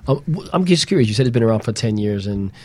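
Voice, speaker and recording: Guy co-host with a lowish voice, casually asking questions and chatting. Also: lively intonation, fast, darkish tone. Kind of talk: casual interview chat.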